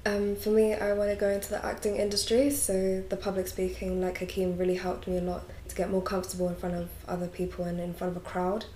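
Give me a teenage girl, soft dark tone, in an echoey room. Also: medium pitch, monotone, fast talker, hardly any pauses, slight background noise. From West London. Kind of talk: casual interview answers.

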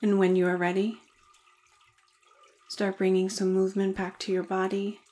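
A woman leading a guided meditation in a soothing, deliberate manner with gentle pauses. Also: medium pitch, little pitch movement.

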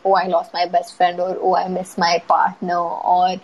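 A woman's voice: fairly low pitch, monotone, moderate pace, a crowd in the background, poor audio. A woman in casual conversation, talking candidly about writing and makeup, swearing freely and acting out quotes. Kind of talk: casual chat.

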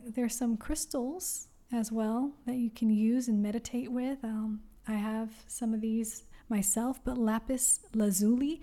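Young woman, podcast host, mellow and a bit high, unhurried and warmly encouraging. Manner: soft-spoken, gentle and encouraging, with many pauses. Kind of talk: solo wellness podcast talk.

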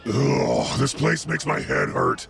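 Man playing gravelly, grumpy axe-wielding boss. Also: medium pitch, steady tone, takes it slowly, fairly bright tone, full character voice. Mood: grumbling. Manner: blunt, exasperated. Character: gruff, grumpy, axe-loving male party boss.

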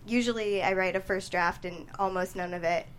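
A woman answering interview questions casually as a screenwriter, in a halting, thoughtful way. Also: medium pitch, steady tone, slight background noise. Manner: hesitant, thoughtful, halting delivery. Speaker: screenwriter guest being interviewed.

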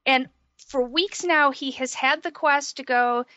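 Bright, slightly hoarse woman podcaster. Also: high-pitched, a little slow, dry recording, muffled recording. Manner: chatty, self-deprecating, explains at length with restarts. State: slightly hoarse from a sore throat. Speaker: podcast co-host. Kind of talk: casual gaming podcast chat.

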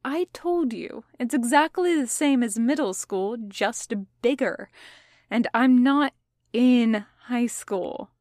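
A woman's voice: on the high side, slow pace, a little mellow, dry recording, studio quality. A woman voicing a prim, exasperated, pedantic schoolboy with precise, formal wording in an audiobook story. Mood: indignant.

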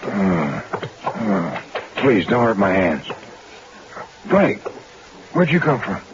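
An actor playing a nervous, polite pickpocket who dodges questions. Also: male voice, on the low side, big pitch swings, takes it slowly, background noise. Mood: evasive. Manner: polite, evasive, jittery. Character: nervous, sly male pickpocket. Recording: dull old radio broadcast.

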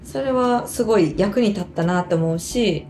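Woman chatting casually on a podcast, mid pitched voice, kinda thoughtful, soft music going behind her. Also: moderate pace. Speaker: podcast host, stage performer. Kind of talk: casual chat about acting.

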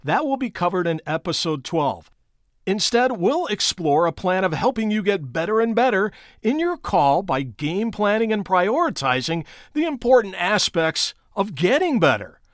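An American man in middle age presents a coaching podcast with a sportscaster's emphatic delivery. His voice sits a little high and moves with lots of melodic variety. The sound is very dry, with no room around it, and he addresses the listener directly. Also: big pitch swings, moderate pace, dry recording. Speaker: podcast host and sports play-by-play broadcaster. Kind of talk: prepared instructional podcast narration with personal anecdotes.

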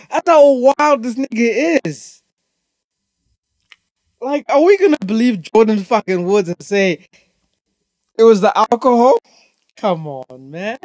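A man's voice: very high-pitched, a little mellow, no echo. Emphatic, sweary male podcaster, close dry sound. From Zimbabwe. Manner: emphatic, repetitive, heavy swearing. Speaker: podcast host. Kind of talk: casual opinionated rant, profanity-laden slangy chat.

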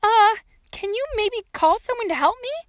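A woman's voice: extremely high pitch, moderate pace, dry recording, clean studio sound, pitched above the performer's own voice. A woman voicing a desperate, exasperated young girl, pleading urgently and emphatically in an audiobook.

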